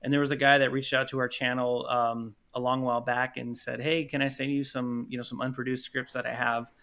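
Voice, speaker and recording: A man's voice: medium pitch, little pitch movement, a little fast, fairly bright tone, clear, resonant tone. A man talking casually and enthusiastically as a podcast guest, telling stories in an informal way. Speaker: podcast guest, audio drama creator. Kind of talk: casual interview chat.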